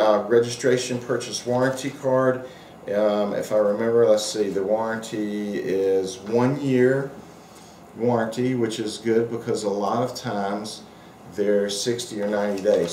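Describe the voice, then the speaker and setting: A man giving a casual, unscripted product demonstration as a hobbyist reviewer, rambling and halting with afterthoughts. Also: medium pitch, fairly even intonation, a little mellow. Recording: echoey room with faint background noise.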